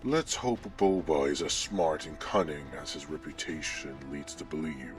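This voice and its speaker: A man playing a menacing, commanding Sith lord in a sci-fi audio drama, speaking in a measured, imperious way with a cold, taunting edge. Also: rumbling low. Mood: measured, ominous. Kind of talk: scripted sci-fi audio roleplay acting. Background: background music.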